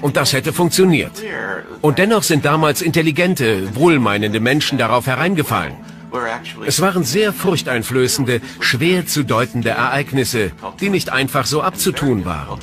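A man's voice: mid-range voice, very animated, normal speed. A man speaking dubbed commentary for a documentary. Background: background music.

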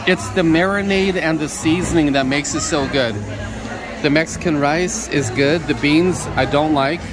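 A man's voice: on the high side, moderate pace. A man hosting a casual food review vlog from a restaurant table, chatty and upbeat, speaking from behind a handheld camera. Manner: upbeat, chatty, simple praise words. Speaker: vlog host. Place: busy restaurant dining room. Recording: restaurant music and crowd noise. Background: background music and diners chatting.

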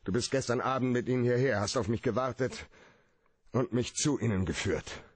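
An actor playing a hard-boiled, cynical private detective in a radio play, with a rough-edged voice and a blunt way of arguing point by point. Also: male voice, medium pitch, moderate pace, no echo, natural voice. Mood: accusing, heated.

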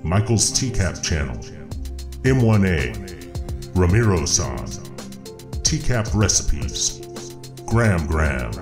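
A man reads a long list of names at an unhurried pace. His voice is very low but moves up and down a lot. Music plays under an echoey sound. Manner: slow, deliberate name reading. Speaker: channel host reading supporter names. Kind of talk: list of thanks.

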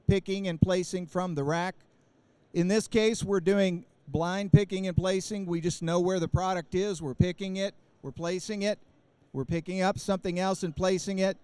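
Man, 40s, trade show presenter, handheld mic, smooth clear voice, dry close sound, technical explaining, fillers and restarts. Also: high-pitched, darkish tone, no echo. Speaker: company presenter. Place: large indoor trade show booth. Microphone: handheld microphone.

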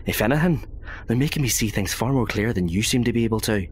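A man's voice: on the low side, animated, fast talker, natural voice. A man playing an earnest, respectful soldier with a hesitant, polite manner in an amateur audio drama. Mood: earnest defiance. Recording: faint background ambience noise.

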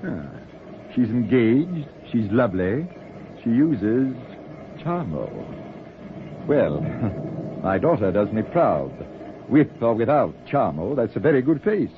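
An actor playing a wry, worldly middle-aged runaway husband with dry, theatrical wit in a 1940s radio drama. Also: male voice, medium pitch, sing-song, takes it slowly, long pauses. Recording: tinny, noisy 1940s radio recording.

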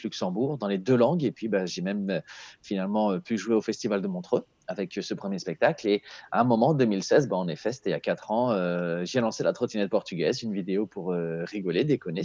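A man's voice: on the low side, darkish tone, no room sound. A man in his thirties, a comedian guest, chatting easily over a video call from home, laughing often. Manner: easygoing, laughs often, many fillers. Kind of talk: relaxed interview chat. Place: small room at home. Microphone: earphone headset on video call. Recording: slightly dull call audio.

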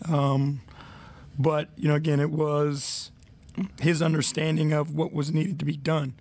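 Coach type, man in forties, gravelly, flat-toned, slightly high, measured answers on a desk mic. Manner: measured, many fillers, reflective. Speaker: head coach. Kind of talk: postgame press conference. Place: arena press room.

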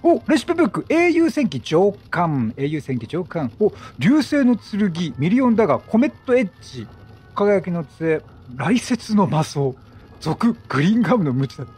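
Animated higher-voiced gamer man, husky edge. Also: moderate pace. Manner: animated, talkative, exclaims and talks back to the game. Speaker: gaming YouTuber. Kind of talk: chatty video game let's play commentary. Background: game music.

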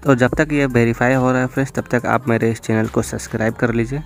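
A man's voice: medium pitch, steady tone, quick pace. A young man hosting a step-by-step app tutorial in an instructional, repetitive way. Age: young adult. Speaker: tech tutorial video host. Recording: music bed behind voice. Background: background music.

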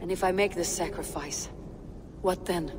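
A man's voice: high voice, fairly even intonation, normal speed, background noise, natural voice. A man playing a proud, wary god in a fantasy game cutscene, with a terse, commanding manner. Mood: grim. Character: proud, wary armoured god seeking immortality. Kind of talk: dramatic game cutscene acting. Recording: long reverb, music behind.

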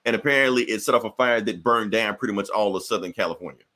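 A man with a bright, forceful voice co-hosts a podcast. He rambles loosely and swears freely, breaking into laughs as he talks. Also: on the low side, fast. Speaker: podcast co-host. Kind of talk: casual profane chat.

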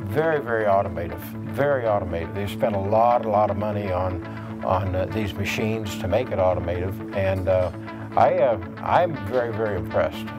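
An older man with a low voice speaks plainly on a factory floor and repeats his words for emphasis. Music plays clearly underneath him. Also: normal speed, poor audio. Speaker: club owner touring a factory. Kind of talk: interview comments.

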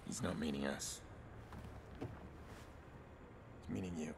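An actor playing a grim, world-weary getaway driver in a video game, terse, gritty and commanding. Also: male voice, a bass voice, slow pace, gravelly, noisy background, full character voice. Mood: stern.

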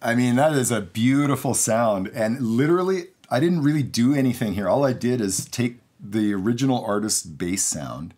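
A man's voice: medium pitch, animated, moderate pace, few pauses, darkish tone. A man in his forties giving a casual, enthusiastic studio tutorial to camera as a mixing engineer. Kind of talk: casual instructional talk to camera. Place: home recording studio, indoors.

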